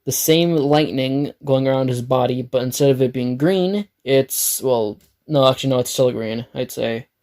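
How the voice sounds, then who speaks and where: A man with a raspy, dry throat, narrating an improvised fan story, rambling and correcting himself. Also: medium pitch, moderate pace, hardly any pauses, darkish tone. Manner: rambling, self-correcting, swears.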